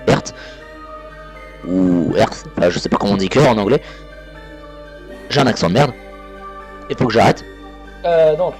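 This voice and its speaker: A man's voice: lowish voice, expressive, measured pace, pauses often, a little mellow, soft background music. A man hosting a let's play, chatting casually over a horror visual novel with crude jokes and frequent restarts. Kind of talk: casual game commentary.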